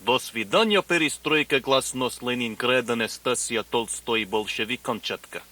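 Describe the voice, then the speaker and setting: A man playing an earnest language teacher in a radio parody, enunciating deliberately in mock foreign phrases. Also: mid-range voice, sharp tone, lightly acted. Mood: instructive. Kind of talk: parody of a broadcast language lesson.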